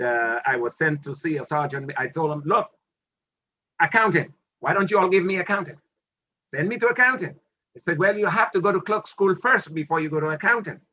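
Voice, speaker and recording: A man's voice: a little high, moderate pace, highs cut off. An older man from Dominica with a Dominican accent, telling stories from his army career in an interview and acting out the dialogue. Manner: animated storyteller who acts out quoted exchanges. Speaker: interview guest, retired army officer. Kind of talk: storytelling interview.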